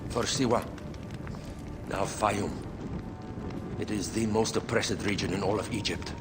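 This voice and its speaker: A solemn royal adviser, played by a man, lays things out slowly and gravely. His voice sits low, with a long reverb tail and strong score music underneath. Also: close to an everyday voice. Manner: measured, solemn, lecturing. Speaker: queen's adviser. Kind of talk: video game cutscene acting. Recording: reverberant game audio with music.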